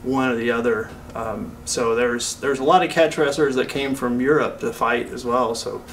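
A middle-aged man explaining martial arts history in a measured, informative way during a casual interview. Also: medium pitch, fairly bright tone, distant mic, a little hiss or hum, low-quality recording. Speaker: jiu-jitsu historian guest.

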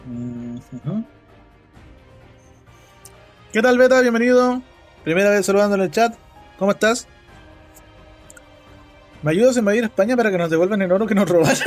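A young man with a Chilean Spanish accent, chatting casually and joking as he reads chat messages during a game stream. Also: high voice.

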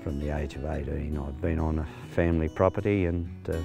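A man in his sixties with an Australian accent, a farm manager talking plainly outdoors, with many pauses and fillers. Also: rumbling low, fairly even intonation, creaky, over music. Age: 60s. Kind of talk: on-location interview. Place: outdoors on a rural farm paddock.

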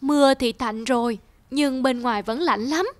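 Woman voicing a pushy hedgehog, high-pitched. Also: moderate pace, close to an everyday voice. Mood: pleading. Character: pushy, ungrateful female hedgehog seeking shelter. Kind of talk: animated fairy-tale dubbing. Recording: music under the voice.

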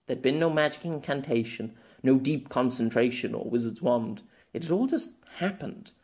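A man's voice: medium pitch, animated, normal speed, a little mellow. A man giving a dramatic scripted narration of a fantasy novella as its narrator.

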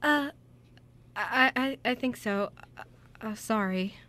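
Woman acting anxious young woman, radio play, stammering, apologetic, bright-toned. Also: a little high, takes it slowly. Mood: flustered. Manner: hesitant, stammering.

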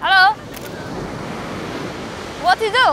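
A woman's voice: extremely high pitch, takes it slowly. A woman doing a brash Italian pasta boss. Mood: pushy. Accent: put-on Italian accent. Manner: broken English, bossy and blunt. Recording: noisy background.

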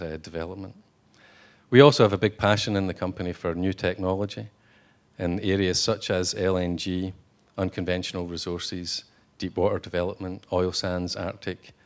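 A man giving a conference speech as a company executive, halting, with many fillers and restarts. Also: low-pitched. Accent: non-Texan. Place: hotel conference room.

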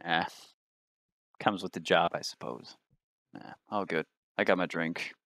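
A man with a very deep voice chats as a tabletop player. He is laconic and casual, swearing freely. The sound is close and dry. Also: steady tone, unhurried, gravelly, a bit bright, no echo. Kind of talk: casual chat in a roleplaying game.

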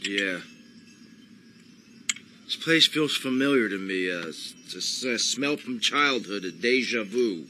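A man's voice: fairly low pitch, animated, slow, pauses often, bright, forceful tone, slight background noise, exaggerated voice. An actor playing a wary, confused American tough guy in a video game, hesitant and guarded. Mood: dazed, wary. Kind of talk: video game cutscene acting.

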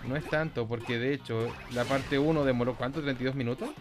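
A young man giving casual, excitable, foul-mouthed commentary as host of a gameplay video. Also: mid-range voice, fast. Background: game music.

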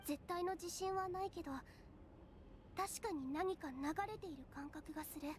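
A woman voicing a shy, earnest young female game alchemist, soft and girlish, stammering and precise in her wording. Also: very high-pitched, normal speed, slight background noise. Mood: awed, flustered. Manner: hesitant stammering, formal and precise. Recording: game music behind the voice. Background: game music.

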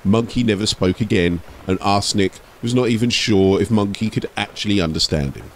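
A man's voice: fairly low pitch, brisk, slight background noise, poor audio. A man narrating from a script as an audiobook storyteller and host.

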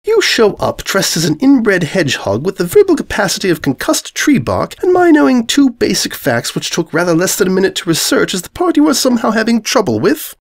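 A man's voice: high-pitched, huge pitch swings, brisk, creaky, hardly any pauses, no echo, studio quality, pitched above the performer's own voice, exaggerated voice. A man voicing a refined, haughty female pony with prim, elaborate, sarcastic politeness in a fanfiction story reading. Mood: scornful, dry.

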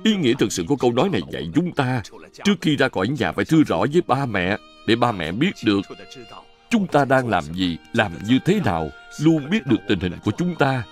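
A man playing a gentle, earnest family man in a dubbed children's cartoon. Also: medium pitch, sing-song, fairly quick, faint music behind, close to an everyday voice. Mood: gently instructive.

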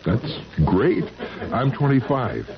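An actor playing a disbelieving, indulgent twenty-five-year-old sweetheart, casual and teasing in vintage radio drama style. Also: male voice, mid-range voice, very animated, takes it slowly, creaky, a crowd in the background, exaggerated voice. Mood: amused, skeptical. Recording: muffled, hissy 1970s radio recording.

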